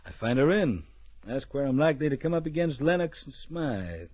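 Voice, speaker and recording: A stern frontier marshal in an old radio western. He speaks in clipped, commanding phrases with a deadpan edge. The recording is dull and aged, with very little room sound. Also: mid-range voice, normal speed, dry recording. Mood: wry narration. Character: stern, dry, no-nonsense Wild West marshal. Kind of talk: old radio western acting with first-person narration.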